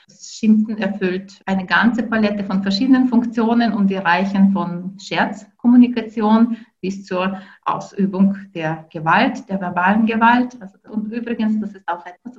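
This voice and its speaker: A woman in her forties whose first language is Ukrainian speaks as a researcher in an interview. She talks thoughtfully, pausing with fillers and restarting her sentences. The recording sounds slightly dull. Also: medium pitch. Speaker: linguistics researcher. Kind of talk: interview answers.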